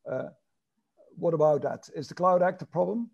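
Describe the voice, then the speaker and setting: A man's voice: a little high, moderate pace, creaky, darkish tone. A man in his sixties moderating an online panel in unscripted, hesitant phrasing over a video call. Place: indoors at home, on video call. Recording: dull, muffled video-call audio.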